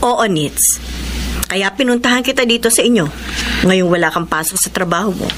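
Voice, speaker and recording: A woman's voice: medium pitch, lively intonation, moderate pace, close to an everyday voice. A stern widowed mother, voiced by a woman in an old-style radio drama. Her voice swings widely as she admonishes. Background noise runs under the broadcast. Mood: scolding. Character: widowed mother-in-law, stern but caring. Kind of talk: classic radio drama acting.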